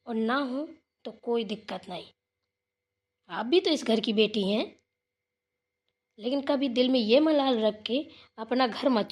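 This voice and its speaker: A woman playing a kind, generous elder sister-in-law in a village family cartoon, talking in an earnest, reassuring, homely way. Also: fairly high pitch. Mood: gentle, insistent. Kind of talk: Hindi family moral cartoon dialogue.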